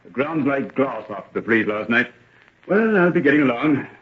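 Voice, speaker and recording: A man playing a brisk, reassuring country doctor in an old-time radio drama, matter-of-fact in manner. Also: mid-range voice, lively intonation, close to an everyday voice. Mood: calm, reassuring. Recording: dull old radio recording.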